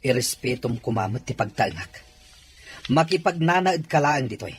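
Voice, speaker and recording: A mid-pitched, highly animated voice plays an indignant head of the household acted by a man. He is stern and accusing, with forceful audio-drama delivery. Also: moderate pace, lightly acted. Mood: angry, accusing. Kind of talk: Ilocano radio-style drama acting.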